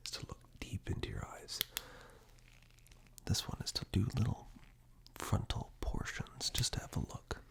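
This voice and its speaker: A man doing soft-spoken, half-whispered ASMR roleplay, reassuring and unhurried, speaking directly to the listener close to a binaural microphone. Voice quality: breathy whisper mixed with very deep, gravelly murmur. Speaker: ASMR performer playing caregiver and eye examiner roles.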